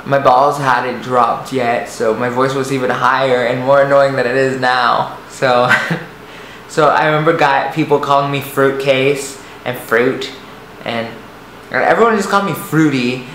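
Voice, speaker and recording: A young man with a light, soft voice, telling a personal story to the camera, hesitant and rambling with many restarts. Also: medium pitch, a little slow. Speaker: vlogger. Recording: echoey room, faint noise.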